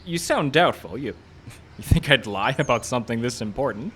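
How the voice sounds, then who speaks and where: A man playing a grandiose, shifty low-budget movie director, eager and wheedling, in a radio comedy. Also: lively intonation, moderate pace, fairly bright tone, natural voice. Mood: evasive wheedling. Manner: enthusiastic, evasive, wheedling. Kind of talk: live radio comedy acting. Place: live show in a park. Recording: live show with faint background noise.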